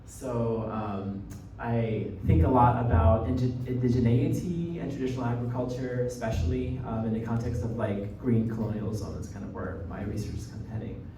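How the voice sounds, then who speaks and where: A man's voice: mid-range voice, normal speed, dark tone. A young American man from New Jersey giving an informal academic talk as a guest speaker, conversational and often checking in with the listeners. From New Jersey, United States. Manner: conversational, often tags points with "right?". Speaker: guest lecturer, graduate student. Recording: muffled, echoey room, distant mic, faint noise.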